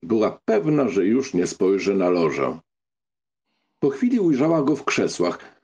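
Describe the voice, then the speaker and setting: A man narrating a literary audiobook from a script, with a gravelly, husky, mellow voice. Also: lowish voice, wildly swooping pitch, unhurried, lo-fi sound.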